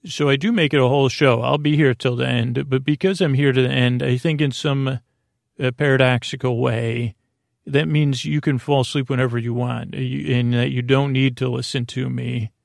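A man with a creaky voice hosting a sleep podcast in a lulling, friendly, meandering and halting way. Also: mid-range voice, steady tone, no room sound, clean studio sound. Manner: lulling, meandering, circular tangents. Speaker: podcast host. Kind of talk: rambling bedtime sleep podcast monologue.

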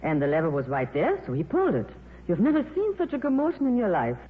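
Worldly female film star, plain-spoken and loyal, very lively intonation, thin vintage radio sound. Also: lowish voice, quick pace. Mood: frank, concerned. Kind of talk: 1950s radio drama acting. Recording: tinny, noisy 1950s radio broadcast.

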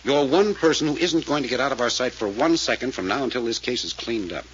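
A man's voice: mid-range voice, lively intonation, fast, slight background noise, lightly acted. A man playing a tough, commanding private detective in an old-time American radio mystery, speaking in a clipped, authoritative way. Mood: stern, commanding. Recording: dull vintage radio recording.

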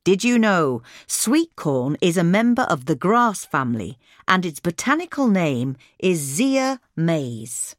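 A woman reads instructional narration in a fairly low voice. Her intonation moves around in a lively way, and the recording is close and dry. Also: slow, hardly any pauses, no room sound. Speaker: reader of a gardening magazine article.

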